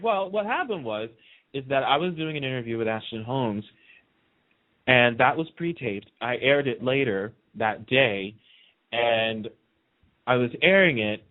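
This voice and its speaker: Enthusiastic male interview guest, anecdotal and conversational, mid-pitched, thin call-quality audio. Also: expressive, a little slow. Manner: chatty, enthusiastic storytelling with acted-out quoted dialogue. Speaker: radio host being interviewed as a guest. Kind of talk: casual anecdotal interview chat. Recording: band-limited, lo-fi phone-in radio sound.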